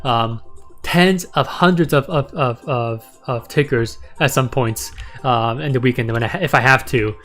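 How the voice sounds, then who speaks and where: A man hosting a stock market livestream in casual chat, his voice raspy and sore, rambling and repeating words, often tagging "right?" and "okay?". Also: medium pitch, measured pace, a bit bright, faint music behind.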